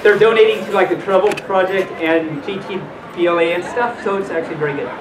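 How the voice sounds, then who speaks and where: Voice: medium pitch, fast talker. A storytime host reading aloud to a live audience in a playful way, with casual asides. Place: hotel function room at a convention. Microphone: distant camera microphone, speaker in a full mascot costume. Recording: roomy, distant, noisy camera audio.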